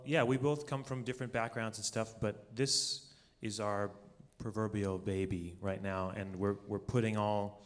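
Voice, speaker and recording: Long hall reverb surrounds a man with a fairly low voice. He is a musician answering questions on a live stage show. His talk is informal and earnest, like sincere conversation. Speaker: musician, interview guest.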